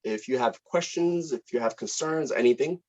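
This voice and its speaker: A man talking casually and a little haltingly as he leads an informal meeting as a director, polite and organizing. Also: mid-range voice, darkish tone. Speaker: film director leading a table read. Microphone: video call. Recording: dull, lo-fi video call audio.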